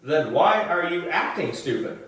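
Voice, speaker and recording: A man's voice: on the high side, expressive, a little slow, fairly bright tone, pitched above the performer's own voice. A man voicing a scornful, bossy sister in a children's storybook, with a scolding, snippy manner. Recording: roomy echo, distant microphone.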